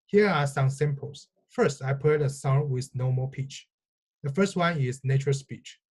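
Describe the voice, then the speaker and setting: A man presenting research in a scripted, measured, explanatory technical talk. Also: mid-range voice, a little mellow. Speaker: researcher presenting own work.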